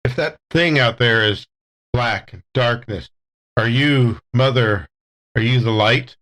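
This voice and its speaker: A man's voice: mid-range voice, takes it slowly, fairly bright tone. A man playing a tabletop game, casually and thoughtfully describing what his character does and says.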